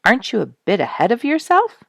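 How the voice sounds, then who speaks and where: Woman reading as a hearty, animated woodsman. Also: fairly high pitch, brisk, gravelly, no room sound, clean studio sound, voice pushed up, full character voice. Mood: teasing concern. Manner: hearty, teasing, emphatic. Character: jovial, knowledgeable woodsman, teasing his young friend.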